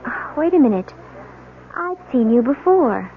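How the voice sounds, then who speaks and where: A woman's voice: high-pitched, very animated, a little slow, heavily acted. A woman playing an anxious, suspicious newlywed wife in a radio drama, speaking politely and hesitantly. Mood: wary. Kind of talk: 1970s radio drama acting. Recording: tinny band-limited old radio, music behind.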